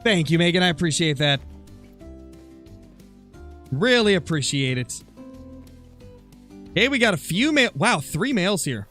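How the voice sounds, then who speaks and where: A man's voice: high-pitched, big pitch swings, a little slow, pauses often, bright, forceful tone, soft background music. A man streaming a game, chatting casually and thinking aloud as he answers viewers. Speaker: live streamer, gamer. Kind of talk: casual livestream chat over gameplay, answering viewer comments.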